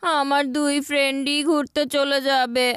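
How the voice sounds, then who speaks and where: A woman's voice: high voice, little pitch movement, unhurried, fairly bright tone. A woman voicing a sporty, boastful young boy in a cartoon. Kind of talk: cartoon voice acting.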